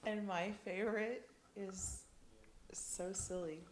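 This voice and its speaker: A woman from the United States, chatting casually in an interview, with many ums and lively storytelling. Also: medium pitch, slow pace, roomy sound, noisy background. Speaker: interviewee, rider's partner.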